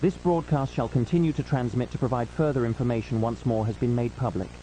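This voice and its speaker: A man's voice: medium pitch, brisk, mellow, a little hiss or hum, poor audio. A man reading a scripted emergency news announcement in a formal, solemn manner as a broadcast announcer.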